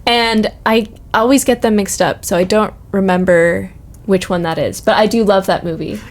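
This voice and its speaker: Expressive young woman in casual conversation. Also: medium pitch, moderate pace. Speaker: podcast guest. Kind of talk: casual chat.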